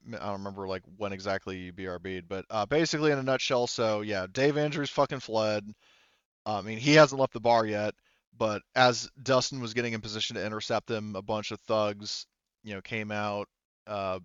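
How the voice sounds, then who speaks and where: A man acts as game master in a casual tabletop session. His voice is on the deep side and clear, and he talks informally, reasoning aloud and swearing now and then. The sound is dry and slightly dull. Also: a bit bright, no echo.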